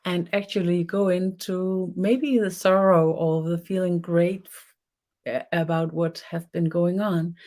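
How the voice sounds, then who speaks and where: A middle-aged Danish woman speaks English with a clear Danish accent, leading a spiritual webinar. Her voice is mellow and on the low side. She talks gently and unhurriedly, often stopping and restarting while she hunts for the right word. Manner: soft, unhurried, searching for words with restarts. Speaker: spiritual teacher. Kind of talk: webinar teaching.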